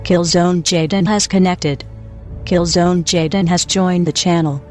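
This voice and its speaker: Automated server announcer voiced by a man, high pitch, formulaic notices, music underneath. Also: steady tone, a little slow, a little mellow. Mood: neutral. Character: flat voice-chat server announcer program. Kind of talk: automated notifications in a comic radio play.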